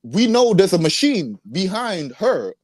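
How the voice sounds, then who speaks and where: A man's voice: high-pitched, big pitch swings, measured pace, darkish tone, dry recording, studio quality. A man hosting a podcast, steering a casual political chat and interjecting with restarts. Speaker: podcast host.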